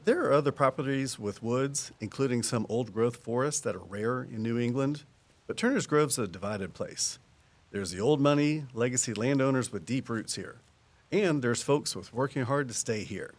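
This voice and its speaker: Folksy actor as a small-town conservation man, medium-pitched. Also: moderate pace, close to an everyday voice. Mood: explanatory, easygoing. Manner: folksy, patient, explanatory. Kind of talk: audio drama dialogue.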